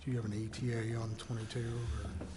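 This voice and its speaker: A man's voice: fairly low pitch, flat delivery, a little fast. An American man informally asking questions as a council member at a meeting. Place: council chamber. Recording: echoey hall, faint noise.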